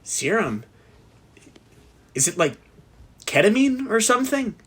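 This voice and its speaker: A nervous young man, a patient in a horror audio play. His voice is on the high side and husky, with a timid, stammering delivery full of desperation and self-doubt. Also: big pitch swings, measured pace, fairly bright tone, exaggerated voice. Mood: uneasy, stammering. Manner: hesitant, stammering.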